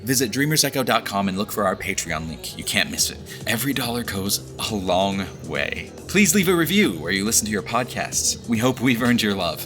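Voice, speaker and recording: A man's voice: on the low side, expressive, a little fast, hardly any pauses, over music. A man speaking as a podcast's creator and host, giving a scripted message to his listeners.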